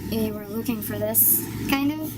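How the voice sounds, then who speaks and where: A woman's voice: a little high, normal speed, very noisy. A woman from Russia with a Russian accent and Russian as her first language, chatting casually to camera as a vlogger in a rambling, giggly manner. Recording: music behind the voice. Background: background music.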